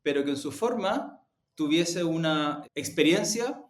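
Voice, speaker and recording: A man's voice: on the high side, expressive, measured pace. Hesitant Chilean man in an echoey room. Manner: hesitant, searching for words. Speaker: interview guest, audio production executive. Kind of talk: reflective interview answers. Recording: roomy, echoey sound.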